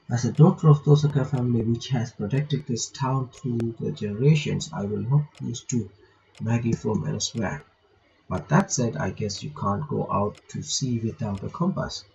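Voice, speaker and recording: A man doing a visual novel playthrough, reading the text aloud with casual asides, a bit halting and stumbling over words. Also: on the low side, creaky, darkish tone. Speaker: reaction channel host. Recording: dull lo-fi mic, faint background noise.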